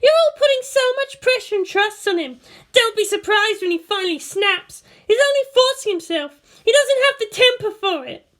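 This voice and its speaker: Casting: proud, scornful teen girl pilot, very high and piercing, snarling indignant rants for a story reading. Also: lively intonation, normal speed, a bit bright.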